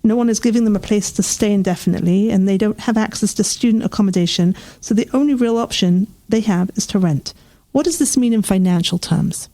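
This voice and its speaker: Host: woman, mid pitch, dark mellow timbre, steady interviewer. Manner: warm-up reading then lively reactions. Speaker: podcast host. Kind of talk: scripted intro then interview chat.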